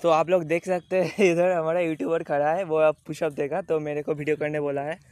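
A man's voice: a little high, flat delivery, brisk, a little mellow, no room sound. A teenage boy filming his friend on a phone and joking about him in casual teasing commentary, laughing between remarks.

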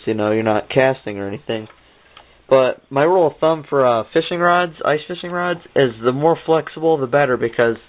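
A man's voice: medium pitch, a little hiss or hum. A young man from Ohio, vlogging an ice fishing trip and giving gear tips in an upbeat, rambling way, recorded on a handheld camera. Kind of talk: casual outdoor vlog and gear tips. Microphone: handheld camera mic. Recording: lo-fi phone-like camera audio.

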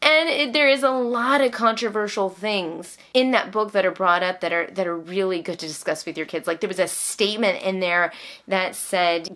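A woman's voice: mid-range voice, fairly bright tone. American woman chatting casually, with emphatic phrasing. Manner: emphatic, repeats phrases for stress. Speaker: homeschooling vlogger reviewing books. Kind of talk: casual chat to camera. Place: indoors, small room at home.

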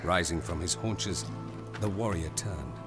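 Male narrator for audio drama, low register, grave and deliberate, music running clearly underneath.

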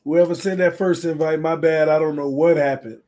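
A man hosting a casual livestream from his room at home while setting up a game, talking quietly because he is losing his voice. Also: fairly high pitch, steady tone, moderate pace. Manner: talks quietly to spare his voice, reads chat aloud. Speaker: sports channel host. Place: small home room full of fan decor. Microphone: desk mic on stand.

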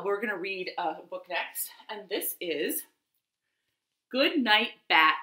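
A young woman hosting a children's storytime at home, reading aloud and chatting to young children in an animated, deliberate way. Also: medium pitch. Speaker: library storytime host and reader. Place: small living room at home, indoors. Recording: roomy living-room echo.

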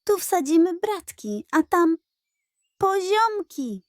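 A woman's voice: very high-pitched, big pitch swings, takes it slowly, a little mellow, dry recording, studio quality, voice pushed up, exaggerated voice. A woman voicing a small, remorseful male puppy with an earnest, humble manner in a children's storybook. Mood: calm, hopeful.

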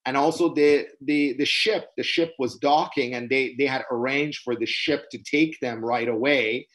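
Halting man on a video call. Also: medium pitch, steady tone, a bit bright, low-quality recording. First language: Persian. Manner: halting, many restarts and fillers, earnest. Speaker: webinar presenter. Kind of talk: unscripted lecture answering questions. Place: small room indoors. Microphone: webcam on a video call.

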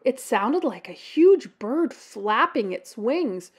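A woman hosts a children's story time, reading a picture book aloud. Her voice is fairly high and animated, and she takes her time, speaking expressively to young listeners. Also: slow, breathy edge. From Canada.

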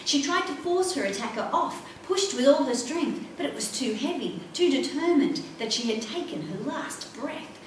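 A middle-aged woman reading a children's adventure novel aloud in an expressive, dramatic way. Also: high voice, moderate pace, hardly any pauses. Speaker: author reading own book. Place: indoor library room, seated at a table. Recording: echoey room, distant mic, faint noise.

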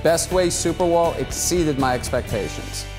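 A man's voice: a little high, moderate pace. A man in his forties giving an earnest customer testimonial in a living room. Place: living room with wooden floor. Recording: reverberant room with music behind. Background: plucked guitar music.

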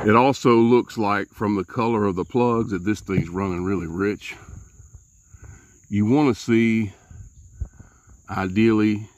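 A man's voice: low-pitched, dry recording. A man, casually walking through a jet ski repair outdoors, folksy and rambling with many fillers. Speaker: DIY mechanic. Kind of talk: casual how-to walkthrough.

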